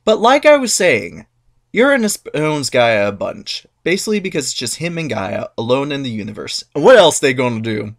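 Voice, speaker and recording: A man's voice: mid-range voice, big pitch swings, normal speed, creaky. A sarcastic male storyteller with a mid-range voice that swings up and down with animation. He reads myths as a comic, irreverent monologue, punching his jokes hard. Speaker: narrator. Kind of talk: comic dramatic reading.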